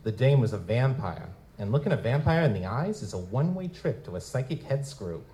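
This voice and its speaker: A man's voice: medium pitch, big pitch swings, a little fast, dark tone. A man playing a wry, wisecracking goblin private eye in a hard-boiled noir audio drama. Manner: wry, feigns hesitant politeness. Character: wisecracking male lutin goblin private investigator, narrating noir-style. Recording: noisy live stage recording.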